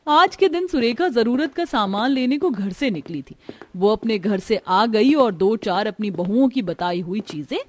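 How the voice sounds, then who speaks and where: A woman's voice: fairly high pitch, lively intonation, normal speed, darkish tone. A woman narrating a scripted family cartoon story.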